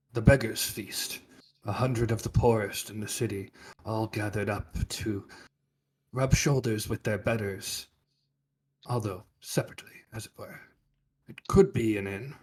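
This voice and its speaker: A man plays a mysterious patron of thieves in a tabletop game. His voice is lowish and husky. He speaks in measured, storyteller-like phrases, keeping a secretive air. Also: steady tone. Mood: measured, cryptic. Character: mysterious, hushed male heist patron. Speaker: game master. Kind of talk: in-character tabletop roleplay.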